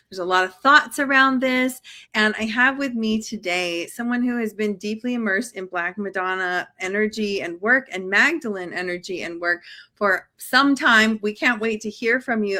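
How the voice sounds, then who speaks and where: Bright-voiced woman hosting an interview. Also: mid-range voice. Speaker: interview host. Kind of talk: welcoming spoken introduction to an interview.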